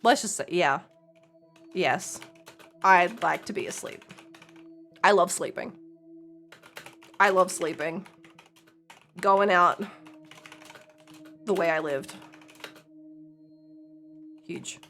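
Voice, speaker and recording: A woman's voice: lowish voice, takes it slowly, long pauses, fairly bright tone, soft background music. A young woman streaming a game, chatting casually, blunt and swearing, laughing often. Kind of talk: casual live-stream commentary.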